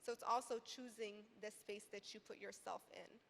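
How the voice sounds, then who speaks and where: A woman's voice: medium pitch, steady tone, moderate pace, vocal fry. A woman in her twenties from Iraq speaking earnestly and conversationally as a panelist, often saying "like" and "you know". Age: 20s. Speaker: youth advocacy panelist and educator. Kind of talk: panel discussion, personal and conversational. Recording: reverberant hall with background noise.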